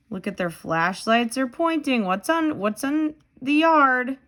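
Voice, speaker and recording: A woman's voice: high-pitched, slow pace. A woman reading a children's storybook aloud expressively, asking questions of young listeners in a dramatic manner. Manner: animated, with dramatic gasps and simple clear phrasing.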